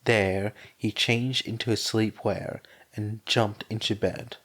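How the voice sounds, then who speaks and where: A man with a hoarse, sore voice reading fan fiction aloud as a podfic narrator, then chatting casually with his listeners. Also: lowish voice, slow. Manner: plain, steady reading with a strained, sore voice. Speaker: podfic reader.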